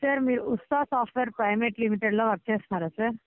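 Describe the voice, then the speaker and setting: A woman working as a loan recovery agent on a phone call, polite and persistent but halting. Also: a little high, no room sound. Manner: polite, persistent, halting, repeatedly says sir. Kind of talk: debt collection phone call. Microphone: phone line. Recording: thin, band-limited phone call recording.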